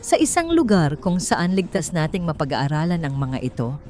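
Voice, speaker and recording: Woman acting as a steady protective companion, Filipino accent, calm, emphatic, audio story, music behind. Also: deep voice, moderate pace. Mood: reassuring. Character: calm, firm protective companion fleeing danger.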